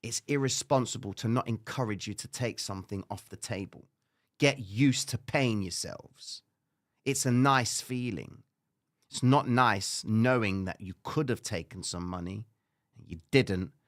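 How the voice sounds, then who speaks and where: A man with a Cypriot accent hosting a live trading stream, talking straight to viewers with frequent tag questions. He jumps between loud, animated lecturing and low, muttered asides. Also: measured pace. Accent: Cypriot English. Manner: casual, frequent "all right?" tag questions, occasional swearing. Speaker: livestream trading host. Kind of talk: live stream chat reading viewer comments.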